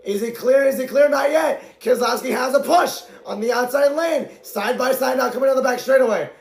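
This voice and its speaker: A man calling a stock car race live as an amateur commentator. He talks excitably, often shouts, and his voice is going hoarse from the strain. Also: very high voice, a little fast, echoey room.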